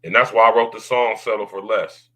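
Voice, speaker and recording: Loud deep-voiced man hosting a podcast. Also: steady tone, fairly quick. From United States. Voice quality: deep baritone. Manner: loud, drawling slang, repeats tag questions. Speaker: podcast host. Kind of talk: casual podcast monologue.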